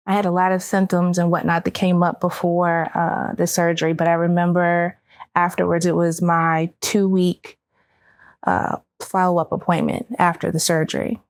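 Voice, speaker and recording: American woman panelist, clean resonant tone, a little low, open and candid testimony. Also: clear, resonant tone, no echo. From Baltimore, United States. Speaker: round-table panelist, army veteran, cancer survivor. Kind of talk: personal testimony in a round-table talk.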